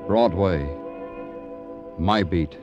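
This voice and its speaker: An actor playing a weary, relentless American police detective in a 1950s radio crime drama, clipped and brooding. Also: male voice, low voice, extremely slow, over loud music. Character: hard-boiled weary Broadway police detective, relentless and knowing.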